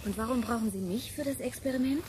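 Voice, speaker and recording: A woman's voice: a little high, fast talker, a little mellow, slight background noise, natural voice. An actress playing a young, curious girl in a radio drama, speaking plainly and unassumingly. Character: shy, curious young girl test subject. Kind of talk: German radio drama acting.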